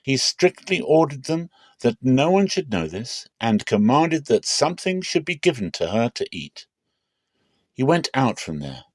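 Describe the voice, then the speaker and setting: A man reading scripture aloud as a solemn, expressive dramatic reading. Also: mid-range voice, measured pace, no room sound. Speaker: Bible reader.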